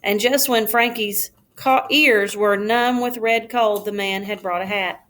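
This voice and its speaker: A woman reading a children's storybook aloud like a schoolteacher, in a careful, expressive storytelling manner. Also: mid-range voice, a little slow, a little hiss or hum.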